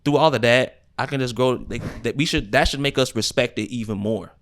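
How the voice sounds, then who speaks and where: A young American man co-hosting a podcast, talking in a casual, animated way, swearing and using lots of filler words. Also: medium pitch, fairly quick, a little hiss or hum. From United States. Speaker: podcast co-host. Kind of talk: casual chat.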